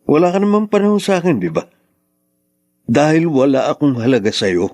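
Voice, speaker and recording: An actor playing a betrayed, bitter young husband in a radio drama, emphatic and melodramatic. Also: male voice, on the high side, big pitch swings, a little fast, darkish tone. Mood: cold, resentful. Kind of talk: Tagalog radio drama acting.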